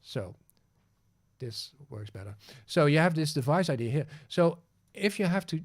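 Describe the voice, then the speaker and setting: A man's voice: on the high side, big pitch swings, moderate pace. A man whose first language is Dutch hosts a livestream, walking through a tutorial on screen and thinking aloud, with frequent fillers and restarts. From Netherlands. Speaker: livestream host. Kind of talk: casual screen-share tutorial.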